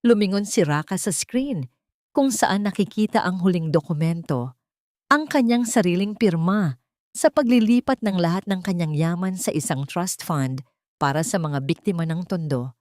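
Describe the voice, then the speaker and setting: A Filipino woman narrates a dramatic audiobook story. Her voice sits on the low side, and the recording is dry and close, with little room sound. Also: darkish tone, no room sound.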